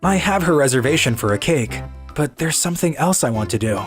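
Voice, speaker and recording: A man's voice: on the high side, lively intonation, a little fast. A man playing an earnest, kind schoolboy who narrates his own story in scripted animation style. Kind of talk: scripted narration and voiced dialogue. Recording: music behind the voice.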